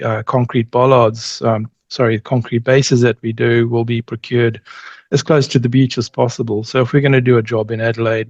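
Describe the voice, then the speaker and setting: Interview guest: middle-aged male, medium pitch, halting casual speech, dull dry recording. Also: darkish tone, no echo. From born in Zimbabwe, grew up in South Africa, long settled in New Zealand. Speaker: interview guest, company representative.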